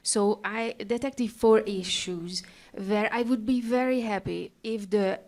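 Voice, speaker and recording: A woman's voice: mid-range voice, steady tone, slow, a little mellow. A woman academic putting a question from the audience at a conference.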